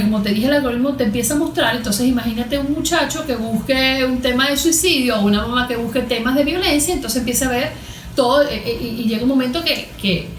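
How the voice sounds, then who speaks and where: A Venezuelan woman explaining as an expert guest in conversation, halting and repeating words, often posing rhetorical questions. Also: on the high side. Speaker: cognitive therapist, interview guest. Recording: echoey room with background noise.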